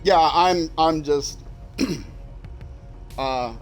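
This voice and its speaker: A man's voice: fairly high pitch, drawn-out words, soft background music. An older retired man with a young-sounding voice, chatting casually and rambling with many fillers as he streams a game. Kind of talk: casual livestream commentary while playing.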